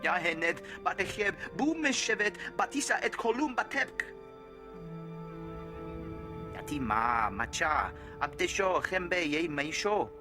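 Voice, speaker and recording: An emphatic alien commander talks in a made-up language. The pitch sits fairly high and swings widely, in video game style with loud music underneath. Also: male voice, animated, moderate pace, hardly any pauses. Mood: earnest.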